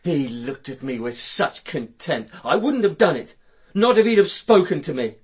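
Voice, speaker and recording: A sneering, husky British killer. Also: male voice, on the high side, sing-song, moderate pace. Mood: anguished rage. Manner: sneering, taunting. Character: contemptuous, bitter male murderer holding hostages at gunpoint. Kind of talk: radio drama acting.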